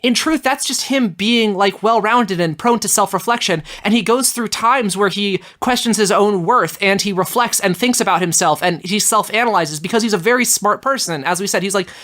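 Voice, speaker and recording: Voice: medium pitch, moderate pace, few pauses, fairly bright tone. A podcast debater arguing casually and insistently in a conversational comedy debate.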